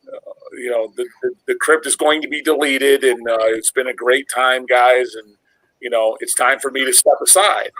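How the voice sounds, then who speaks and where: A man's voice: mid-range voice, fairly bright tone, no room sound, low-quality recording. A middle-aged man who hosts a channel, chatting casually about music in a rambling, repetitive way. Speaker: metal video channel host. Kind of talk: casual group chat about metal.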